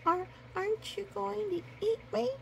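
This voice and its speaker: A woman's voice: very high voice, fairly even intonation, a little slow, creaky, slight background noise, pitched above the performer's own voice, heavily acted. A woman voicing a frightened young polar bear cub, hesitant and pleading, in a storybook reading.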